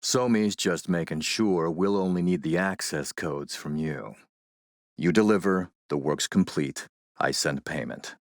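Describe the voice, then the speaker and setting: An actor playing a ruthless middle-aged warlord in a video game, speaking in a measured, courtly, menacing way. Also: male voice, low voice, no room sound, studio quality. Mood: businesslike menace. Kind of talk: video game character voice acting.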